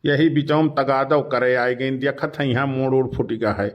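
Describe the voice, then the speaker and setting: A man's voice: mid-range voice, fairly even intonation, moderate pace, dull audio, close to an everyday voice. A man voicing an aggrieved, hard-drinking villager with an Awadhi accent in a cartoon comedy, complaining and protesting. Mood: worried.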